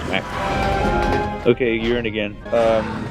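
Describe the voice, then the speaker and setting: A man's voice: lowish voice, little pitch movement, slow. A man giving snarky, casual co-commentary on a video game. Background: game music.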